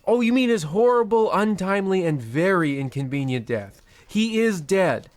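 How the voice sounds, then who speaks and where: Blustering, tactless bank officer. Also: male voice, high-pitched, animated, normal speed, no room sound. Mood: callous, indignant. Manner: blustering, callous, fussy. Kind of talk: scripted comedy radio play.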